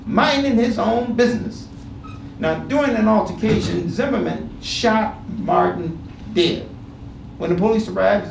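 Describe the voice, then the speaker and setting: Middle-aged American male panel speaker, high creaky voice, emphatic delivery of a prepared statement. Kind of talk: reading a prepared statement aloud with spoken asides to an audience. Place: indoor panel room. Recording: roomy, distant microphone, noisy background.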